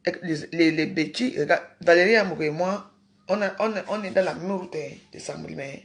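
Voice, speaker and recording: A woman's voice: on the low side, fairly quick. A woman hosting a casual livestream, talking emphatically to her viewers, repeating herself and scolding them. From Cameroon. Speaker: livestream host.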